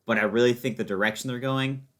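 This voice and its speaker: A man's voice: medium pitch, fairly even intonation, fast talker, a bit bright. A man in a casual conversation as a podcast guest, enthusiastic and opinionated, talking in slangy everyday language. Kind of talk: casual chat about video games.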